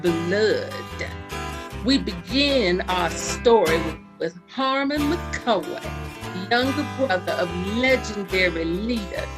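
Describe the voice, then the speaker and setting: A woman delivering solemn, dramatic scripted narration as the storyteller of a radio drama. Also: mid-range voice. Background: guitar music.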